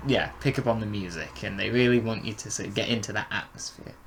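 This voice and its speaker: A man's voice: lowish voice, fast, vocal fry, fairly bright tone. Man thinking aloud, slight background noise. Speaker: co-host of a review show. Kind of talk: casual review chat.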